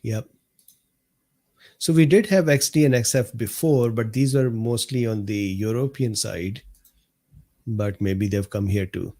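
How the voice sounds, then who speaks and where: A man with a mellow, slightly hoarse voice hosts a live stream from his desk mic. He reads viewer comments aloud in an unhurried, easygoing way. He laughs often and answers viewers by name. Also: on the low side. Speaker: host of a live medical talk stream. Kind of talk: casual livestream chat reading viewer comments.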